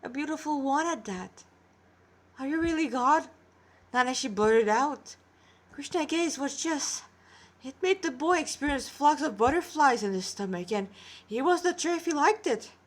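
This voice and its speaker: A woman with a fairly high voice reads fiction aloud into a tablet. She sounds tired but narrates with drama, in the informal way of an amateur storyteller. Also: animated, normal speed. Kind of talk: narrated story reading with character dialogue. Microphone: tablet recording.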